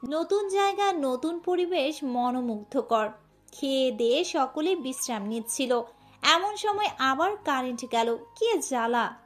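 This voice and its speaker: A woman giving scripted narration of a romantic story. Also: high-pitched. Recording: music bed under voice.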